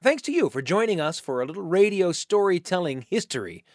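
A man's voice: sits high, very animated, brisk, no echo. Folksy male radio host, close dry sound. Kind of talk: scripted storytelling narration.

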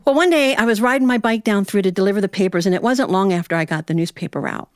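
An American woman telling a humorous personal tale as a storyteller, in a folksy, animated manner with dramatic pauses. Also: medium pitch, no echo, studio quality. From Ohio, United States.

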